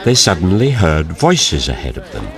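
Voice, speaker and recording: A man's voice: low voice, very animated, moderate pace, far from the mic, a crowd in the background. A man reading scripted story narration for a radio drama.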